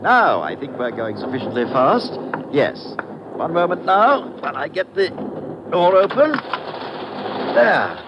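A man's voice: fairly high pitch, wildly swooping pitch, takes it slowly, pauses often, lo-fi sound, exaggerated voice. An actor playing a mock-polite, genteel, taunting gunman in an old radio thriller. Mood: mocking, smug. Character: suave, mocking male villain with a gun. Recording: vintage radio, loud train noise behind.

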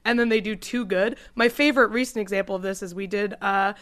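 A woman's voice: mid-range voice, fairly even intonation, fast, a bit bright. A woman co-hosting a comedy podcast, upbeat and chatty in casual talk.

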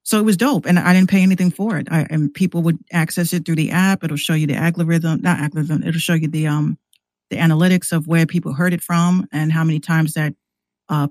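A man's voice: fairly high pitch, a little mellow, dry recording. A man in a casual podcast chat, explaining things informally and often repeating words as he goes. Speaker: podcast guest who runs an online radio station.

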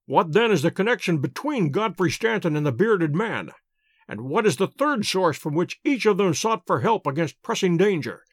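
A man reading an audiobook, voicing a calm male detective who explains his reasoning in a measured, precise, lecturing way. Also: high voice, no echo, clean studio sound. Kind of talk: audiobook character voice.

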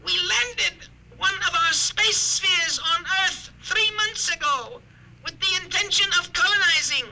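An actor playing a tiny, cold and scheming alien commander who looks like a doll, in an old-time radio drama. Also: male voice, a little slow, creaky, bright, forceful tone, heavily acted. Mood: cold triumphant. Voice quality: high squeaky voice. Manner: precise, coldly triumphant. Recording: noisy old radio recording.

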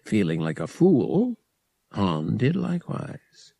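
Guy reading a novel aloud, audiobook narrator, lively swooping delivery, rough raspy voice. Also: lowish voice, slow pace, no room sound, studio quality. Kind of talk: scripted fiction narration.

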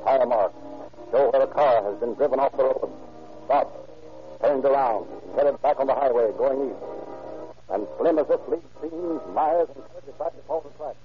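A man's voice: medium pitch, moderate pace, faint music behind, close to an everyday voice. Vintage radio crime narrator, male actor: formal, urgent case reporting, narrow tinny recording, musical underscore. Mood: formal, dramatic.

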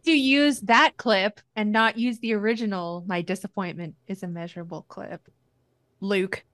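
Woman cohost, doubtful, laughs a lot, middle pitch. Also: steady tone, normal speed, a bit bright. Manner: skeptical, laughing. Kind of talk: casual chat.

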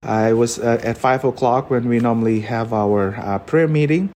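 A young man from Fiji with a Fijian accent, a podcast guest telling his story earnestly with many pauses. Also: mid-range voice, a little mellow, slight background noise. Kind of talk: personal storytelling.

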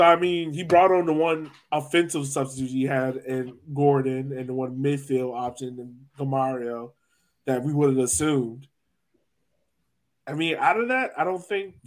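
Man, slightly high-pitched, podcast host, casual sports chat.

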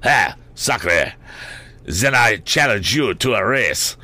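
A man voicing a fussy, boastful little Frenchman with a French accent in a storybook reading, theatrical and excitable. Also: fairly low pitch, slow, dry recording, full character voice. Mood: boastful, challenging. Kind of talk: audiobook character voice.

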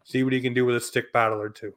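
A man's voice: mid-range voice, little pitch movement, rapid-fire, fairly bright tone. A man hosting a sports podcast, giving casual, blunt opinions in short colloquial phrases.

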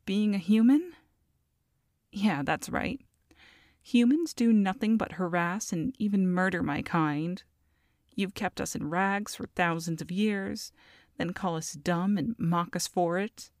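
Woman acting as a sassy, unhurried goblin mom. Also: medium pitch, no echo, clean studio sound. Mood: defensive, taunting. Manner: sassy, haughty, colloquial, addressing a captive. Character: feisty, scheming short goblin mother, taunting and proud. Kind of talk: ASMR audio roleplay monologue.

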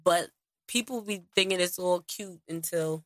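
A woman co-hosting a podcast in casual chat, lively and slangy, laughing often. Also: fairly low pitch, a little slow, no echo, studio quality. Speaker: podcast co-host.

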